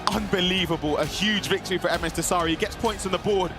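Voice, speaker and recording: A man co-commentating an esports football match with energetic, exclaiming delivery. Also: high voice, fast talker, music in the background. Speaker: esports co-caster. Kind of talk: sports commentary.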